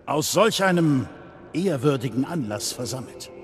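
An actor playing the solemn, grave leader of a knightly order in a game drama, speaking in ceremonial, measured oration. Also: male voice, medium pitch, expressive, over music, close to an everyday voice. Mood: grave, reverent.

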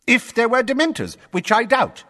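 A man voicing a pompous, blustering middle-aged official who sputters and shouts indignantly. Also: very high-pitched, big pitch swings, moderate pace, big-room sound, pitched above the performer's own voice, exaggerated voice. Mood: indignant, shouting.

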